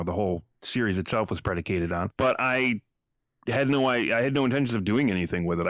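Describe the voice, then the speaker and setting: A man's voice: fairly low pitch, fairly bright tone, no echo. A man speaking casually as an interview guest, informal, rambling and self-effacing. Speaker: podcast guest, audio drama writer.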